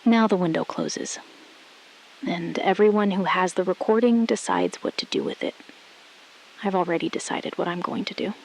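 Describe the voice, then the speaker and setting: A woman playing a resolute, shrewd astronaut in an audio drama, speaking in a controlled, deliberate way and repeating phrases for emphasis. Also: on the low side, big pitch swings, gravelly, exaggerated voice, rough recording. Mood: quiet resolve. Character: resolute, shrewd female astronaut exposing a cover-up. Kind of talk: scripted audio drama, hushed dramatic phone-call acting.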